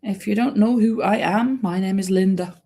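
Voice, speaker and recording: A woman's voice: medium pitch, moderate pace, dark tone. A Norwegian woman with a Norwegian accent, chatting casually to viewers as the host of a livestream. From Norway. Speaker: live stream host, crocheter.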